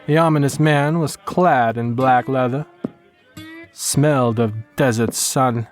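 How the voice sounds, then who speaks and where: A man giving a scripted first-person western horror narration in measured, folksy storytelling phrases. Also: mid-range voice. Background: plucked guitar music.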